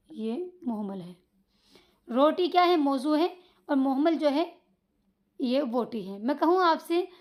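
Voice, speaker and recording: Patient teacher: a high-pitched woman taking children through grammar step by step. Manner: patient, repeats points with checking questions. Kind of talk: online grammar lesson for children.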